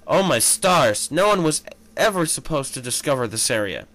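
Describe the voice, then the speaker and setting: A man's voice: mid-range voice, very animated, normal speed, a bit bright, rough recording. A man reading fan fiction as an anxious, commanding star spirit leader with urgent, exclamatory delivery. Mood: shocked.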